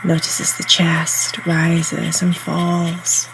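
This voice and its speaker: A woman guiding a meditation in a soothing, unhurried, instructional way, leaving pauses between phrases. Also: lowish voice, monotone, vocal fry, background noise. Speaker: meditation guide. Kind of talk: guided meditation narration. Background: soft ambient meditation music.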